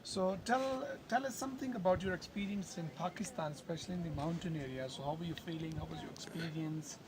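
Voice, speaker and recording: A man's voice: on the high side, quick pace. A man working as a tour guide, interviewing a guest outdoors with hesitant phrasing and many fillers. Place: outdoors in the mountains. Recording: noisy outdoor ambience.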